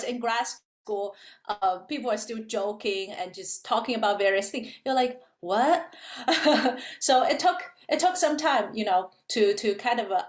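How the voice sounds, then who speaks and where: A woman's voice: mid-range voice, reverberant. A woman professor from China, explaining things at an easy pace in a casual interview, with many fillers. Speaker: physics professor, guest.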